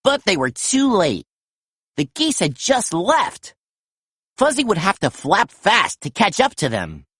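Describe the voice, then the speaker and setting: A woman narrating a children's story as an animated read-aloud storyteller. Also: medium pitch, measured pace, slightly rough, dry recording, clean studio sound.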